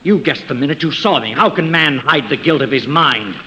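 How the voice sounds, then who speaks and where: Nervous guilty gentleman, higher-pitched. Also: expressive, moderate pace. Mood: theatrical, anguished. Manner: hesitant, then theatrically declaiming. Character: nervous, guilt-ridden gentleman cracking under suspicion. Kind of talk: 1950s radio drama acting. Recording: dull, noisy vintage radio recording.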